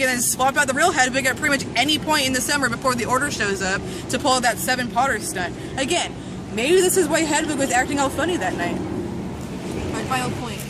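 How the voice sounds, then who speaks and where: High-voiced woman reading theatrically amid street noise. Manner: theatrical, playful mock-serious delivery. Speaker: reader of a fan zine. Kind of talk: dramatic reading of a humorous fan essay. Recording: noisy outdoor urban recording with traffic.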